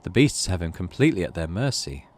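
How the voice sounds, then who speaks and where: Male let's player, low register, animated delivery, casual thinking-aloud commentary mixed with story reading. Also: fast. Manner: thinks aloud while playing. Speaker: gaming channel host playing a visual novel.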